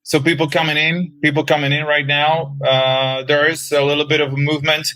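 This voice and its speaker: A man's voice: a little high, little pitch movement, fairly quick, bright, forceful tone, rough recording. A man from the Republic, hosting a casual interview and talking hesitantly with many fillers. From Czech Republic. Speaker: podcast host.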